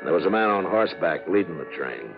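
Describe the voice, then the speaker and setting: An American actor playing a steady, laconic Old West marshal in 1950s radio western style. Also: male voice, low voice, fast talker, over music. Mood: calm, narrating. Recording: tinny 1950s radio recording.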